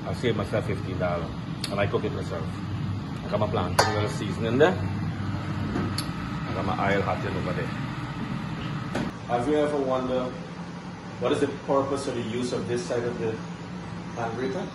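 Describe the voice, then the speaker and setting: A middle-aged man with a Guyanese accent chats to his viewers as a home cook. His voice sits a bit low. He is recorded in a home kitchen with very loud steady noise around him. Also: normal speed, pauses often. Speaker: home cooking vlogger. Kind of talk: casual cooking vlog chat. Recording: noisy kitchen, loud appliance hum.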